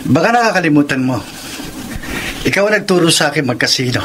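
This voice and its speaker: An actor playing a cocky, selfish gambler husband, vain and dismissive, in a Filipino radio drama. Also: male voice, a little high, expressive, fast talker, noisy background, close to an everyday voice. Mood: defiant. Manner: smug, dismissive.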